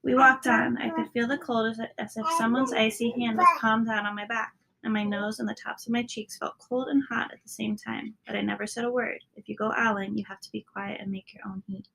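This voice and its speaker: A woman reading a children's story aloud as a narrator, sometimes dropping into a hushed tone at the ends of lines. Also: a little high, little pitch movement, brisk, gravelly, few pauses, slight background noise, low-quality recording. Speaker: storybook reader.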